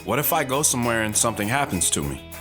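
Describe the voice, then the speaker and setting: A man's voice: mid-range voice, very animated, fast talker, lightly acted. Romantic young husband over guitar music male actor. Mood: questioning.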